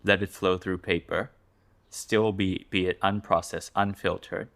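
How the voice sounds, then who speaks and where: A man with a very deep voice hosts a conversation. He speaks in a measured, thoughtful way. He often repeats words as he shapes his questions. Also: steady tone, fairly bright tone. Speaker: podcast host. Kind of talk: interview conversation.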